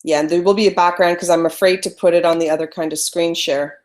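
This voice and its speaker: A woman with a fairly low voice hosts a live online class. She talks casually into her computer mic, thinking aloud as she fixes her screen share. Her voice is loud and friendly in a loose, unscripted way. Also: steady tone, quick pace. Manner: chatty, apologetic, talks through screen-sharing trouble. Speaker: webinar presenter teaching photography. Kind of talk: casual live webinar teaching.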